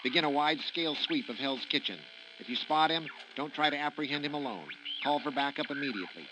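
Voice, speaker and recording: A man's voice: a little high, fast talker. A man playing a businesslike police dispatcher in a radio drama, clipped and official, heard through a radio. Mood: urgent, official. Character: businesslike male police dispatcher issuing an alert. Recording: noisy police radio transmission.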